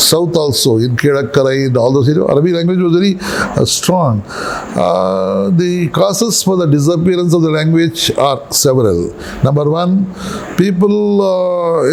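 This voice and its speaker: A man, an Urdu speaker, answering interview questions in a measured, explanatory way and often repeating key phrases. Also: on the high side, vocal fry, darkish tone, slight background noise, low-quality recording. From Tamil Nadu, India. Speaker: interview guest, local historian.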